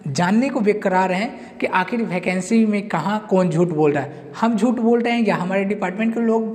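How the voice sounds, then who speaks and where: A man's voice: high voice, normal speed, darkish tone. A man gives an informal, emphatic update to camera as a channel host, often repeating himself. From India. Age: 20s. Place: indoors in front of a whiteboard. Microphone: clip-on lapel mic. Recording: long hall-like reverb.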